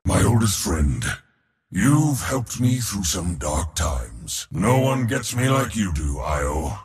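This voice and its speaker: A man's voice: low-pitched, big pitch swings, slow, big-room sound, exaggerated voice. A man voicing an arrogant, mocking war god in a video game, pompous and theatrical with a sardonic edge. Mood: sardonic, haughty. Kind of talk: video game character voice lines.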